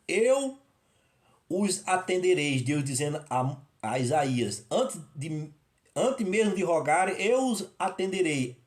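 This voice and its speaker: Man preaching from northeastern Brazil. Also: fairly high pitch. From Northeast Brazil (Rio Grande do Norte). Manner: rambling, with many restarts and repetitions. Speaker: evangelist. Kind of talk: informal testimony sermon. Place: his apartment.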